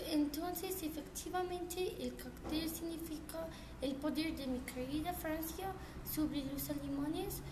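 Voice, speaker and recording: A woman playing a polite historian guest. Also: sits high. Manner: polite, hesitant with fillers. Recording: echoey, noisy homemade recording.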